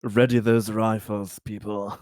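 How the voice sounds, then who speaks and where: A man's voice: fairly low pitch, little pitch movement, a little slow, a little mellow, no room sound, clean studio sound. A man bantering casually as a player in a tabletop roleplaying game.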